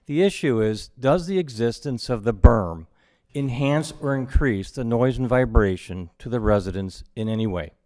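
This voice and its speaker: A man, a consulting engineer, summarizing an issue in a measured way at a public hearing. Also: on the low side, darkish tone, no echo, clean studio sound.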